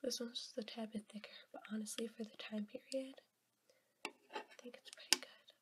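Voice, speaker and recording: A young woman whispering softly for an ASMR video while sick, rambling with ums. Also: on the high side, steady tone. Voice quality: whispered, soft. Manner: slow, rambling, with ums and soft laughs. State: sick, voice a bit rough. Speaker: ASMR creator. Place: at a table indoors.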